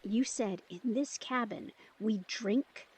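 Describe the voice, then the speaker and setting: A woman playing an insecure, teasing, newly turned vampire in an intimate audio drama, earnest and hesitant, with a slightly breathy voice. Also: a little high, slow, a little mellow. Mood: uneasy, confused.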